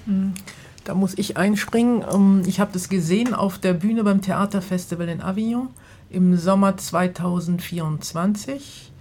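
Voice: mid-range voice, normal speed, a little mellow. A theater maker talking fluently in a radio studio interview and explaining the background of a play. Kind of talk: casual interview talk.

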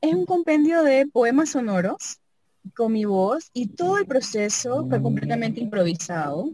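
A woman, a sound artist and double bass player, talking casually and reflectively about her work, thinking aloud and often adding tag questions. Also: big pitch swings, moderate pace, warm tone, no echo, rough recording.

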